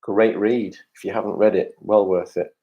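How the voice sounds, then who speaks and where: A man's voice: fairly low pitch, steady tone, moderate pace, dark tone, highs cut off. A man hosting an online pub quiz, reading out answers with chatty jokes and asides to the players over a video call. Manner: jokey, chatty asides to players, reads questions aloud. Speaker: quiz host.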